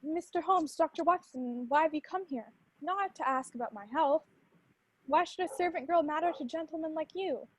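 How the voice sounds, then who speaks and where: High-voiced, forlorn young servant girl, acted by a woman. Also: moderate pace, no echo, natural voice, poor audio. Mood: guarded. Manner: plaintive, earnest. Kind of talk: radio play acting.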